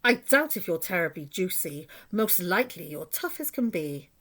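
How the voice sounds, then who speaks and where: A woman voicing a mean, greedy male rat highwayman, loud, commanding and boastful, in a children's storybook. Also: big pitch swings, moderate pace. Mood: menacing. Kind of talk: children's storybook read aloud.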